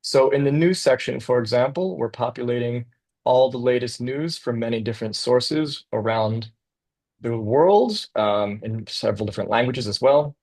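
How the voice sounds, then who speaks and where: A man's voice: medium pitch, lively intonation, normal speed, darkish tone. A man from the United States, presenting as a guest architect over a video call in a casual, enthusiastic way with some fillers.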